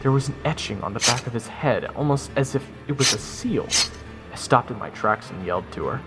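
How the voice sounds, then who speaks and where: A wry soldier, voiced by a man, narrates his fantasy adventure in the first person. His voice is mid-pitched and matter-of-fact, with clear music underneath. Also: animated, fairly quick, natural voice. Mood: urgent. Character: wry watchful soldier telling his story. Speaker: narrator of audio roleplay.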